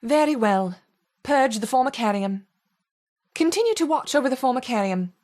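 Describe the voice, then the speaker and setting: High-voiced, commanding supervisor. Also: female voice, expressive, normal speed, a little mellow. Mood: stern. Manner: clipped, formal, commanding. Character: brisk, authoritative female project supervisor, coolly impatient. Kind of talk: acted video game dialogue.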